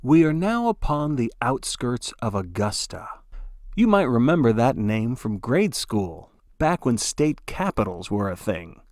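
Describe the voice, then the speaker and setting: A grandiose male play-by-play broadcaster from a dystopian audio story, acted out by a man. He is reverent and excitable, with a very lively, mobile pitch. He takes his time over the words for theatrical weight. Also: a little slow, dry recording, studio quality. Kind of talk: play-by-play sports commentary.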